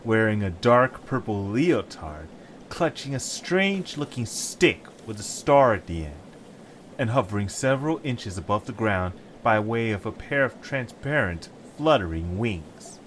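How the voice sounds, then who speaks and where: A man's voice: lowish voice, very animated, a little slow, a bit bright, slight background noise. A man reading scripted story narration as a storyteller. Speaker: narrator and voice actor.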